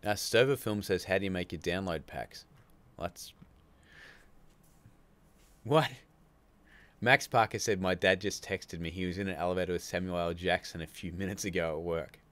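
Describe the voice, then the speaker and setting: Deep-voiced Australian man chatting on a livestream. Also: fairly even intonation, normal speed, long pauses, a bit bright. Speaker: livestream host. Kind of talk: casual live-stream chat reading viewer comments.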